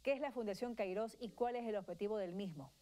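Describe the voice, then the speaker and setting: A woman in her thirties anchors a TV news segment from the studio. She speaks formally and at a measured pace. Her pitch sits in a middle range. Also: dark tone. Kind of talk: TV news interview.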